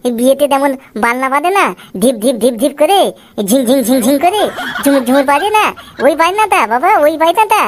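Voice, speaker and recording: Woman as little cartoon boy, very high squeaky voice, babyish lisp, whiny and eager, quick talker. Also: close to an everyday voice. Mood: excited, eager. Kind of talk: comic cartoon dialogue. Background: music.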